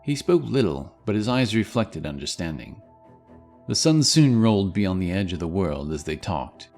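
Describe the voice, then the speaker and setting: Deep-voiced male fantasy narrator. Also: very animated, normal speed, a little mellow, faint music behind. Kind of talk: dramatic scripted fantasy narration.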